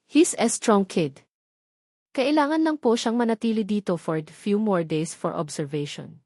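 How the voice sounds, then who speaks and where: A woman's voice: medium pitch, unhurried, darkish tone, dry recording, clean studio sound, lightly acted. A woman with a Filipino accent voicing a reassuring, matter-of-fact hospital doctor in an audio story, explaining professionally.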